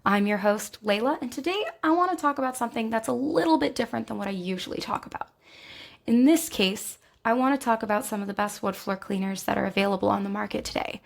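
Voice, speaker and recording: A woman's voice: a little high, fast talker. A woman hosting a podcast in a conversational way, her voice scratchy from a recent illness and a lingering cough. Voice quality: scratchy, gravelly voice. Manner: conversational, with fillers and self-corrections. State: recovering from illness, slight cough. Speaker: podcast host. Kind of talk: casual informational podcast.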